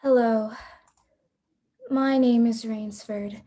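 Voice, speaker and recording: A woman playing a worldly, self-assured big-game hunter, telling the story in measured first-person radio drama narration. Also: on the high side, steady tone, warm tone. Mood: composed, proud. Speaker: actor narrating a radio play.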